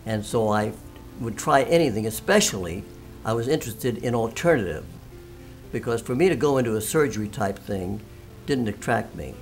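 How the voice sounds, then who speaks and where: A man's voice: lowish voice, animated, normal speed. Enthusiastic man in his nineties, music behind. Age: 90s. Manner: plain-spoken, enthusiastic, emphatic. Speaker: patient giving a testimonial. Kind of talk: unscripted testimonial.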